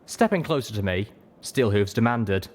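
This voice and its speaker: An audiobook narrator reads scripted first-person fiction. The delivery moves through a wide, lively range of pitch as the story is told. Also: moderate pace.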